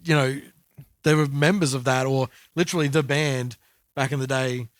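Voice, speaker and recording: A middle-aged Australian man in casual podcast chat, slangy and quick to chime in. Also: mid-range voice, steady tone, no echo. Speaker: podcast co-host.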